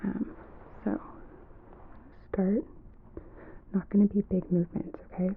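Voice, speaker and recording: A woman giving calm, gentle clinical instructions as a doctor in an ASMR examination roleplay, often dropping into a soft whisper. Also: medium pitch, unhurried, gravelly, narrow, tinny audio, a little hiss or hum. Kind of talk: ASMR medical exam roleplay.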